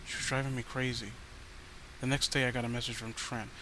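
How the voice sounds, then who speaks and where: A man's voice: medium pitch, flat delivery, a little fast, sharp tone, low-quality recording. A man narrating a horror story in a casual manner, stumbling over the odd word. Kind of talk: dramatic horror story reading. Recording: faint background noise.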